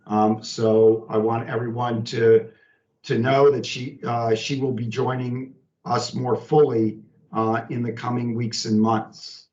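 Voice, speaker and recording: Slow-paced man, distant and echoey. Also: mid-range voice, level tone, a little mellow. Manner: halting, deliberate. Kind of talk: work conference call announcements. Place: remote conference call. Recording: echoey, distant, dull conference call.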